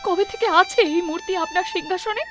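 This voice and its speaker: A woman's voice: extremely high pitch, fast, over music. An actress voicing a young new mother who asks her mother-in-law anxious, pleading questions. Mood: worried. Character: frightened young mother, new bride of the house.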